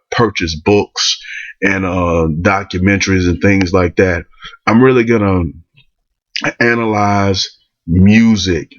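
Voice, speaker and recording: Slow, low-voiced man with a cold. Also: dull audio. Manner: rambling, blunt, profane. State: catching a cold. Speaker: vlogger giving battle rap opinions. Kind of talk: casual opinion monologue.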